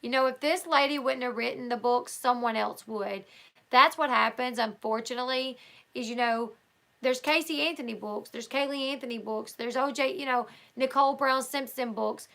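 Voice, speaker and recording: A woman who is hosting a livestream, reading a book aloud and chatting casually with her viewers. She is hoarse and talks loosely, with fillers and restarts. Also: on the high side, moderate pace, pushed and bright. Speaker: livestream host.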